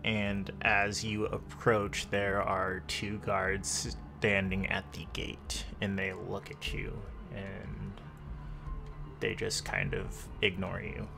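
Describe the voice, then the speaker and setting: Low, gravelly male game master. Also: flat delivery, slow pace. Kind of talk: narrating a tabletop roleplay game. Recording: music bed under the voice. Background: music.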